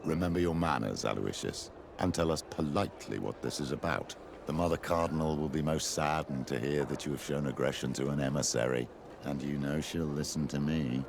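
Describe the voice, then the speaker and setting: A man voicing a stern senior cleric in a video game, speaking in a measured, formal, reproving way. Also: rumbling low, creaky, noisy background. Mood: reproving, calm.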